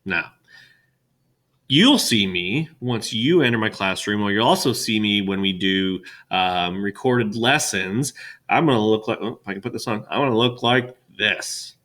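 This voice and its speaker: A middle-aged male teacher with a loud, boisterous classroom voice, talking casually and rambling with fillers, recorded on a webcam in an empty classroom. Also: on the low side, measured pace. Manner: rambling, chatty with many fillers. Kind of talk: casual classroom welcome talk.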